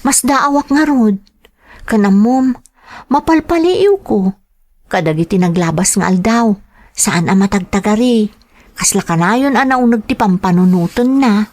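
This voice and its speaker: A woman playing a dutiful, long-suffering young elder sister in an audio drama, earnest and gentle, with a Filipino accent. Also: medium pitch, unhurried, no room sound, natural voice. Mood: gentle, caring. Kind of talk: Ilocano audio drama acting.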